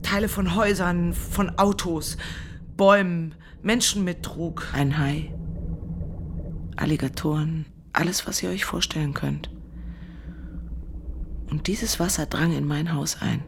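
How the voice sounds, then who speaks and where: Eine Schauspielerin spielt eine erschütterte Zeugin einer Flut, die in einem poetischen, stockenden Hörspielmonolog spricht. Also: female voice, lowish voice, steady tone, a little slow, long pauses, a little hiss or hum. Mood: grave, halting. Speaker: radio drama actor. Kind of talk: poetic, halting dramatic monologue.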